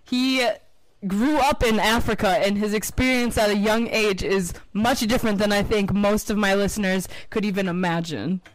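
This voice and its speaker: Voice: medium pitch, fairly even intonation, normal speed, low-quality recording. A podcast host introducing the show and interviewing their father, playful and teasing with frequent laughs. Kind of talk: casual podcast conversation.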